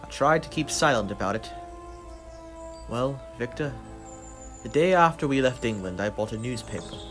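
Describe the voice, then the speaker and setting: Man playing a mid-pitched loyal friend in radio drama. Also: lively intonation, normal speed, a bit bright, soft background music, natural voice. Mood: concerned, probing. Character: Henry, loyal, probing male best friend. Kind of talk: old-time radio drama acting.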